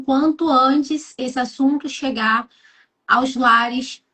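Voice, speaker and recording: A woman's voice: high-pitched, a bit bright. A woman from Rio de Janeiro with a Carioca accent, a guest who tells her own story in an earnest, reflective way. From Rio de Janeiro, Brazil. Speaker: guest speaker. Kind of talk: personal storytelling in conversation.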